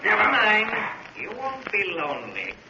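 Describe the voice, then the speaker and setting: High-voiced vengeful old man, old radio. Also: wildly swooping pitch, normal speed, creaky, dull audio, exaggerated voice. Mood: coolly gloating. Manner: formal, precise, sardonic. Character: vengeful wealthy old man, coolly gloating, unhinged. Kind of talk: 1940s radio thriller acting. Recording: noisy, echoey 1940s radio recording.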